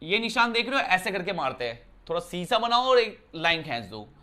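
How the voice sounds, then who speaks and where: A man from Uttarakhand teaching a maths lesson indoors in a chatty style, often checking with his students whether it is clear. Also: high-pitched, sing-song, brisk, bright, forceful tone. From Uttarakhand, India. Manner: conversational, keeps asking "clear, brother?". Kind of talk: classroom lesson explanation. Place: indoor room.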